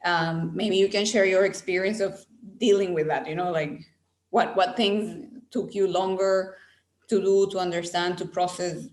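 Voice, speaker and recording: Low-voiced woman moderating in an echoey room. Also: fairly even intonation, a little slow. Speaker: webinar host. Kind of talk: casual spoken moderating.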